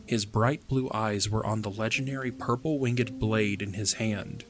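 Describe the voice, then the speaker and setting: Low-voiced man narrating a story. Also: steady tone, a little slow, faint music behind.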